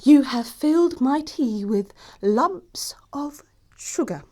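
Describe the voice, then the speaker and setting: A woman's voice: fairly high pitch, a little mellow. A woman acting a snobbish young English society lady in an audio play comedy, with pompous, precise, disdainful delivery. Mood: indignant. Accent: English upper-class.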